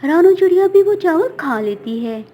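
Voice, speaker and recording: High-pitched woman narrating a children's story. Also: expressive, moderate pace, dull audio.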